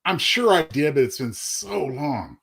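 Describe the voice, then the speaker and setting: Lively, husky higher-voiced man. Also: a little slow. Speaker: co-host. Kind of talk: casual chat.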